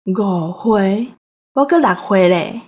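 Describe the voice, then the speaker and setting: A woman with a Taiwanese accent and a husky voice, hosting a show with banter. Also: mid-range voice, lively intonation, takes it slowly, darkish tone. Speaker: show host. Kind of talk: bantering radio show talk.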